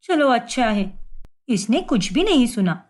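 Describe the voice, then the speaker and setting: A woman voicing a scheming, sharp-tongued young wife in a cartoon story, speaking in a theatrical, defensive way. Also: a little high, very animated, a little slow. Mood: smooth-talking.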